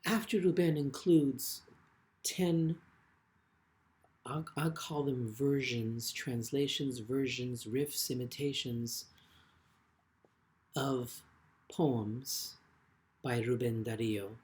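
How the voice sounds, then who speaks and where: A man with a mid-pitched voice reads in a literary style, as a poet presenting his own work. His delivery is very slow and measured, with phrases set apart by frequent pauses. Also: fairly even intonation, a little mellow. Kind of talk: literary poetry reading with brief spoken introductions.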